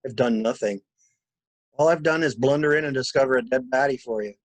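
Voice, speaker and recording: A man's voice: mid-range voice, fairly quick, dry recording, close to an everyday voice. A man playing a shrewd, blunt stranger who questions people like a detective in a dramatic mystery reading. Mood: agitated. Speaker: amateur reader, library staff.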